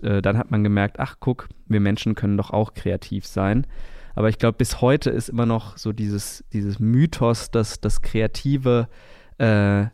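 A man's voice: lowish voice, darkish tone, no echo, clean studio sound. A man co-hosting a podcast in a conversational style. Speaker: podcast co-host.

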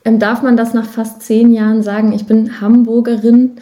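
Mellow woman chatting as interview guest. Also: a little high, flat delivery, normal speed. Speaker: podcast guest, musician. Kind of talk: casual conversational interview answers.

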